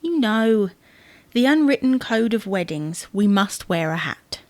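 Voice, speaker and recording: A fussy wife played by a woman in a domestic audio comedy. She bickers in an insistent, theatrically indignant way at a medium pitch. The sound is dry, with no room on it. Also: animated, a little slow, no room sound, lightly acted. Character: fussy, exasperated wife fretting over wedding clothes. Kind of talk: domestic audio sitcom acting.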